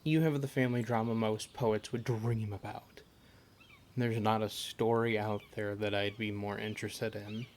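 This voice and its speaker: A man playing a caring, teasing adventurer who comforts a party member, in a gentle, halting, conversational amateur audio roleplay. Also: lowish voice, flat delivery, slight background noise, lightly acted. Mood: amused, teasing.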